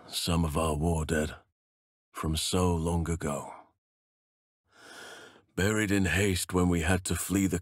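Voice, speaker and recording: An actor playing a burly middle-aged male elf druid in a fantasy game, earnest and gentle, with measured, formal phrasing. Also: on the low side, dry recording, studio quality, full character voice. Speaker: video game character actor.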